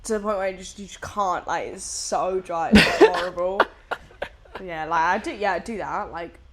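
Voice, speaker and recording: A woman's voice: lowish voice, normal speed. Chatty sweary woman in her twenties. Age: mid-20s. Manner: chatty, swears often, lots of fillers. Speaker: podcast guest. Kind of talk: casual chat.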